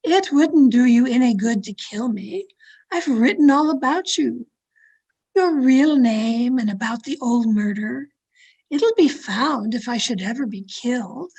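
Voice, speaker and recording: A woman playing an obsessive, lovestruck mystery novelist in melodramatic old-time radio style, with pleading, deliberate delivery. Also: fairly high pitch, expressive, darkish tone, slightly rough, dull audio, full character voice. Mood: coolly scheming. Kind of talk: 1940s radio mystery acting and narration. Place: at home, online video-call performance.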